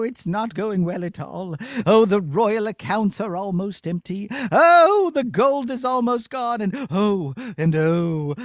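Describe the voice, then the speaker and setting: A man's voice: sits high, dry recording, full character voice. A man voicing a fretful, easily frightened old king, dithering and blustering with sighs, in a children's puppet show.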